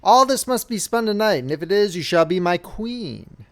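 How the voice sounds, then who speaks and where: A man's voice: sits high, sing-song, moderate pace, pitched above the performer's own voice. Man playing an imperious, greedy storybook king. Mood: commanding. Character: greedy, commanding adult male king. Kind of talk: storybook character voice in a fairy-tale reading.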